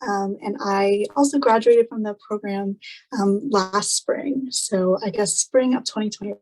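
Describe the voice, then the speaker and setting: A woman's voice: mid-range voice, measured pace, a little mellow, slight background noise, rough recording. A young woman in her twenties, a student panelist, talking in a chatty, upbeat way with lots of fillers. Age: 20s. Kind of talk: casual panel chat on a video call.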